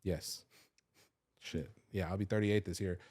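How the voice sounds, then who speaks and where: Man, 30s, lowish voice; confessional podcast host, casual, profane, filler-heavy. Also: fairly even intonation, a little slow, a little mellow, slightly rough. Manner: loose and confessional, swears freely, many "like" fillers. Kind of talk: candid personal storytelling.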